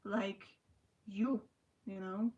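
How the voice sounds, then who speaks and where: A young woman from Slovakia, chatting casually on a livestream in halting sentences full of restarts and "you know". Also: medium pitch, fairly even intonation, a little mellow, lo-fi sound. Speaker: livestream host. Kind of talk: casual livestream chat answering viewers.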